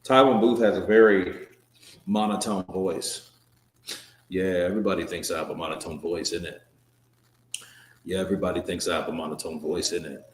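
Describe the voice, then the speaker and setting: A man with a lowish voice hosts a live boxing stream and chats through viewer comments. His talk is loose and joking, with swearing and restarts. The sound carries a roomy echo. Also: fairly even intonation, normal speed, long pauses.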